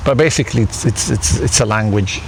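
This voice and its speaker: A man's voice: fairly low pitch, a little fast, vocal fry, a crowd in the background, poor audio. A man whose first language is Italian, interviewing a guest in a casual, thoughtful way with many hesitant restarts. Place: outdoors in a garden.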